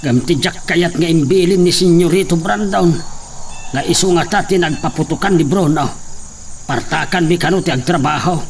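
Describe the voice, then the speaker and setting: An actor playing a bitter, complaining hacienda worker. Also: male voice, a little high, moderate pace, natural voice. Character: angry hacienda worker, husband. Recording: faint background noise.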